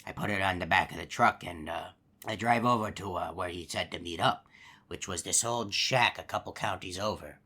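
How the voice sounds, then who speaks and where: A man's voice: deep voice, moderate pace, bright, forceful tone, husky, heavily acted. A man voicing a chatty male hippo animatronic who tells a long folksy story, rambling with lots of uh and you know. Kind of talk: game character monologue.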